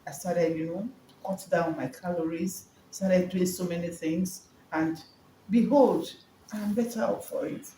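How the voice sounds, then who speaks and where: A Nigerian woman in her fifties talks in a mellow, relaxed voice, as in a personal interview. She pauses and repeats herself as she goes. The room is echoey, with faint noise behind her. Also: fairly low pitch. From Lagos, Nigeria. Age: 50s. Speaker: wellness coach and business owner, interview guest. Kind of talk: conversational interview answers, personal account.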